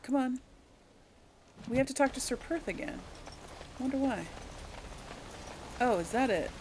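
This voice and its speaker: A woman's voice: high voice, lively intonation, measured pace, noisy background. A woman giving casual role-play video game commentary, thinking aloud in a hesitant, conversational way. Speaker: gaming video host and player.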